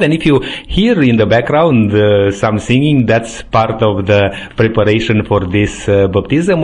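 Man, low voice, interview host, halting, lots of fillers. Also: darkish tone. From Romania. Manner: halting, filler-heavy questions. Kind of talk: hosting an interview.

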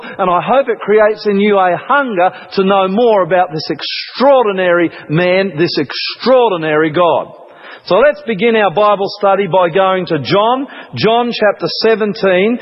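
A man preaching a sermon, deliberate and emphatic, repeating phrases and pausing for effect. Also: high voice. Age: 40s. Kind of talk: sermon, Bible study. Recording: muffled radio-like sound, long hall reverb.

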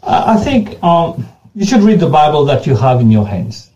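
Earnest pastor, Creole first language. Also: male voice, a little high, very animated, normal speed, dark tone, muffled recording. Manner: earnest, repeats words and restarts often. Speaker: pastor being interviewed. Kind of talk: unscripted interview answers.

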